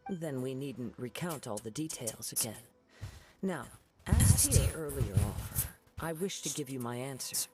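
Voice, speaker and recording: A man voicing a calm, poetic young wandering swordsman in a video game, soft-spoken and formal. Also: mid-range voice, unhurried, heavy background noise.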